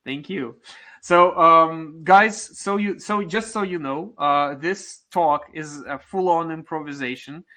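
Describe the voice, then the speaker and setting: A man's voice: on the high side, slow, bright, forceful tone. A man hosting a conference interview, friendly and casual, with many fillers. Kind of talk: conversational interview.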